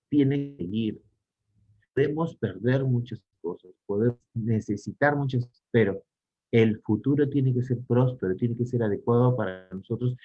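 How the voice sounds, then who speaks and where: A man's voice: mid-range voice, muffled recording. A man with a Peruvian Spanish accent giving a psychology lecture, posing rhetorical questions to teach. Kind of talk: online conference talk.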